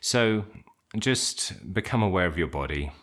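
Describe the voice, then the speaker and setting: A man leading an unscripted guided meditation, giving simple instructions in an informal, gently hesitant way. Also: sits low, lively intonation, measured pace.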